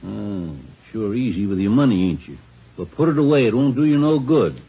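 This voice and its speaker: An actor playing a gruff, suspicious old handyman with folksy, emphatic rustic speech in an old radio drama. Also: lowish voice, animated, normal speed, a little hiss or hum. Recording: muffled old radio recording.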